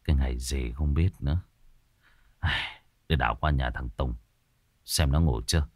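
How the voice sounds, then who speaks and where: A man's voice: deep voice, animated, brisk, a little mellow, slightly rough, no room sound, clean studio sound. A man voicing a drunk, sullen young man who stammers and talks coarsely.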